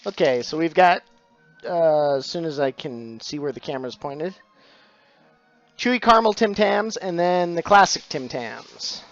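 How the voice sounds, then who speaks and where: A man's voice: on the high side, expressive, a little slow, a bit bright, muffled recording, faint music behind. A middle-aged man, chatting casually on a live stream about his craft, full of dad jokes and thanks to followers. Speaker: stained glass streamer.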